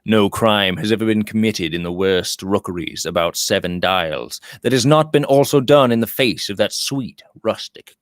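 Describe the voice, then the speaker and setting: A man's voice: lowish voice, no room sound. Dry-sounding man reading a novel aloud. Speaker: audiobook reader. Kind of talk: scripted literary narration.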